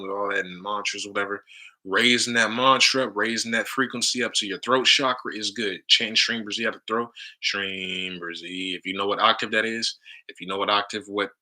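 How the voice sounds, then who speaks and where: A man with a deep baritone voice leading a live stream, talking casually and tagging nearly every sentence with "right?". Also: pushed and bright, low-quality recording. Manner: loose, repeats "right?" often. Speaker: live stream mantra leader.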